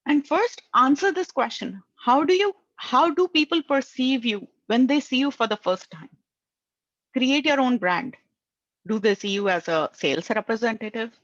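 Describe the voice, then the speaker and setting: A woman's voice: a little high, dry recording. A woman with a thick Indian accent coaches job seekers, speaking English as her second language. She keeps checking in with "right?" as she goes. The sound is dry and somewhat muffled. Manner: earnest, tags lines with "right?". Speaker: career coach. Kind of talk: advice talk.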